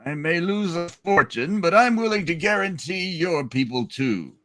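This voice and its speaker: A man playing a scheming, smug old banker in a hammed-up radio play reading, deliberate and menacing. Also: high-pitched, animated, a bit bright.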